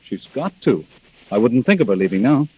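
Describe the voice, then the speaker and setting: A man's voice: medium pitch, very animated, moderate pace, muffled recording, slight background noise, close to an everyday voice. A man playing a controlling, impatient husband in a 1940s radio drama, brusque and insistent. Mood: smooth, evasive. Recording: vintage 1940s radio recording.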